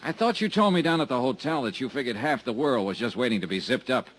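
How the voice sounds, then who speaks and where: An actor playing a wisecracking insurance investigator in a 1950s radio drama, also narrating. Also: male voice, mid-range voice, lively intonation, fast talker, a bit bright, poor audio. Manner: dry, wisecracking, laconic.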